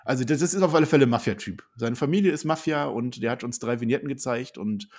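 A man's voice: medium pitch, fast talker, a little mellow. A man co-hosting a casual podcast, rambling through a rundown with dry jokes. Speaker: podcast co-host. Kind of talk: casual wrestling chat.

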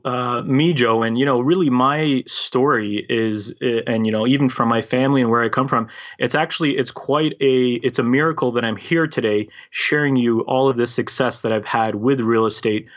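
A man in his thirties from the former Yugoslavia, raised in Canada, talking as a podcast guest in a friendly, relaxed way. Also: medium pitch, moderate pace, hardly any pauses. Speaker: podcast guest, real estate investor. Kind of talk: casual interview. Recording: dull lo-fi call audio.

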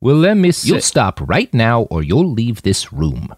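A man's voice: medium pitch, wildly swooping pitch, unhurried, darkish tone, no echo, voice pushed up, heavily acted. A motherly woman from a fiction podcast, put on by a man. The voice is lifted and lilting, kindly yet firm, with no anger in it. The recording is dry.

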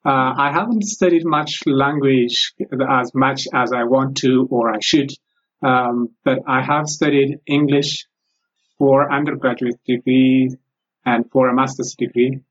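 Husky Ethiopian-accented man, halting speech. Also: medium pitch, steady tone. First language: Amharic. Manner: halting, careful, many pauses. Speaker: guest, former English teacher. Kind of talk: interview answers. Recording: lo-fi recording.

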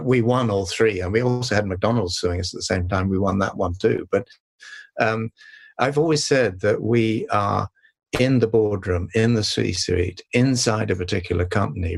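Calm husky-voiced older man. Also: fairly low pitch. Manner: measured, reflective, many hesitations and restarts. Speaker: sustainability consultant and author, guest. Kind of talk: interview answers.

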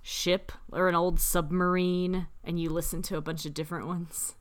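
A woman's voice: fairly low pitch, flat delivery, moderate pace. A woman co-hosting a podcast in casual chat, enthusiastic and easily delighted, sounding tired. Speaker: podcast co-host.

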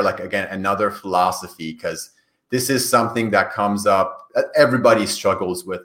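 A man's voice: on the low side, fairly bright tone. A man who grew up in Iran and speaks Farsi as his first language, answering podcast interview questions in an earnest way, often restarting and repeating words. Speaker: podcast guest. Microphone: call.

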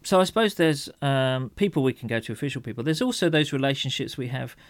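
A man's voice: mid-range voice, lively intonation, quick pace, dry recording. A man co-hosting an informal, reflective discussion, feeling his way through sentences and often restarting them. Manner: hesitant, searching for words, repeating sentence openings. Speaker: podcast co-host.

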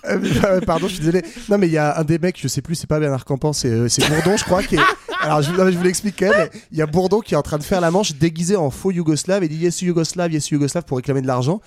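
A man's voice: fairly high pitch, fast talker, few pauses, crowd noise. A man co-hosting a casual discussion, talking in a hesitant, colloquial, digressive way. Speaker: co-host of a history discussion. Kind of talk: casual chat.

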